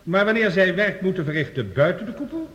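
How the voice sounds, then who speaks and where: Lively scientist in a hall. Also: male voice, high-pitched, a little fast, muffled recording. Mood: earnest, explanatory. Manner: formal, lecturing, precisely articulated. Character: male scientist reporting Mars findings, earnest and authoritative. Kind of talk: science fiction radio play acting. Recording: reverberant hall, radio drama production.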